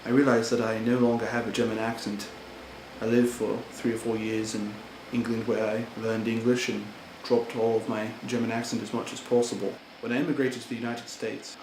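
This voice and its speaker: A man's voice: mid-range voice, lightly acted. A man acting an earnest, indignant German-born immigrant who pleads for fair treatment, in an amateur role-play monologue. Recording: echoey room with background noise.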